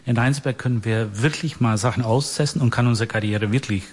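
A man's voice: medium pitch, a little fast, darkish tone, husky. A man with a Belgian accent answering interview questions enthusiastically, with slips and fillers. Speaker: festival artistic director.

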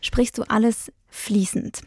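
A woman's voice: on the high side, steady tone, slow pace, a crowd in the background. A woman hosting a radio interview, introducing music and asking her guest friendly questions. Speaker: radio host. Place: radio studio.

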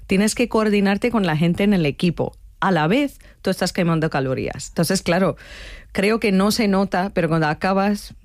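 A middle-aged woman speaks as a guest in a radio interview. Her voice sits a little low, and she explains things at length in a conversational way. The sound is dry and close. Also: a little mellow, no room sound. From Chennai, southern India. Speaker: interview guest, university professor and dancer.

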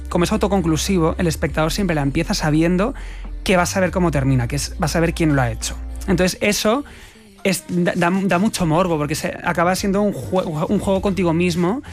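A man with a Spanish accent from Spain, chatting in an expressive, colloquial way as an interview guest. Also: high voice, fairly quick, soft background music. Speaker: guest actor being interviewed. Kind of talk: casual interview chat.

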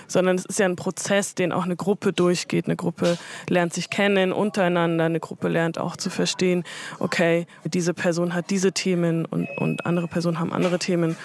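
A woman giving thoughtful interview answers in long, measured sentences as a dialogue group organizer. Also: fairly low pitch.

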